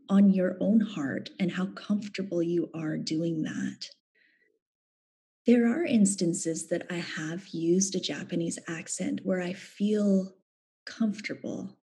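A woman's voice: on the low side, warm tone, slightly rough. A Canadian woman, a theatre actor, speaking thoughtfully as a guest in a reflective conversation. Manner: thoughtful, measured.

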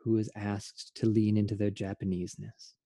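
A man's voice: fairly low pitch, little pitch movement, normal speed, mellow, dry recording, clean studio sound. A man, a theatre artist, hosting an earnest and reflective conversation. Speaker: interview host, theatre artist.

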